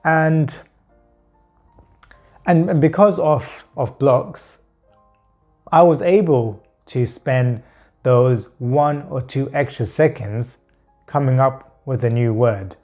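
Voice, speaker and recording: A man with a stutter speaks slowly to his viewers in a mid-pitched voice, often stalling on a word and repeating small ones before pushing on. Soft music plays faintly under his talk. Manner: speaks with frequent stuttering blocks and repeated words; slow, halting delivery with long pauses. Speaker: vlogger talking about his stutter. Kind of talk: personal talk to camera.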